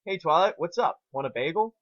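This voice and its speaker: A man's voice: a little high, expressive, fairly quick, fairly bright tone, muffled recording, voice pushed up. A man voicing a perky, helpful female cartoon pony who chirps questions in a story reading. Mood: friendly, perky.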